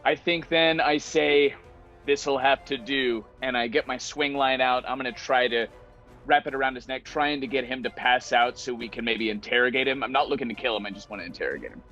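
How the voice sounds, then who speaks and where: A man's voice: mid-range voice, brisk, bright, forceful tone. A man chatting casually and playfully as a player in a tabletop roleplaying game. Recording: slightly dull lo-fi mic, music behind.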